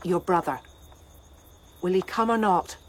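An actress playing a woman of the chorus in a classical tragedy, gently questioning and warning. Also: fairly low pitch, unhurried, slight background noise, natural voice. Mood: concerned. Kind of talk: classical tragedy stage acting.

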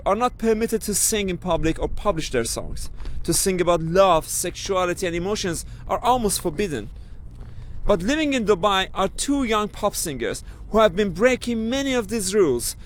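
A man's voice: sits high, slight background noise. A man from Iran with a Persian accent, narrating a documentary report and interviewing guests.

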